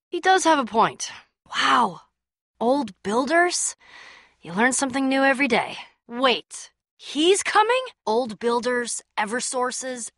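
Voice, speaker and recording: A woman's voice: sits high, fairly bright tone, no room sound, studio quality. An actress playing a bold, sardonic young woman adventurer in a video game, with a wry, punchy, casual delivery. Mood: wry, curious.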